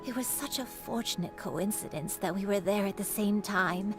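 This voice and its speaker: A woman voicing an earnest, remorseful young crane disciple in a video game, solemn, reflective and halting. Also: mid-range voice, over music, natural voice. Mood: remorseful, wistful. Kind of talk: dramatic video game voice acting.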